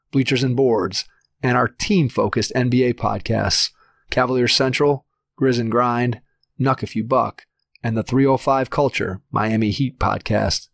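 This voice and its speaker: Dry-voiced podcast host reading intros. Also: male voice, medium pitch, fairly bright tone, dry recording. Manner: crisp announcer delivery. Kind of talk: scripted intro and ad reads, then interview questions.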